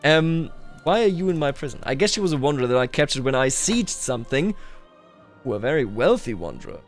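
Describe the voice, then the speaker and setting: A man's voice: mid-range voice, big pitch swings, fairly quick, soft background music. A man doing roleplay commentary over a strategy game, addressing its characters and himself with theatrical declarations. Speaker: gaming YouTuber.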